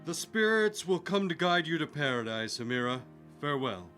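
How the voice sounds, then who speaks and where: Bright-voiced, soft-hearted king. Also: fairly high pitch, very animated, normal speed, faint music behind. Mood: ceremonial. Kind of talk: radio drama acting.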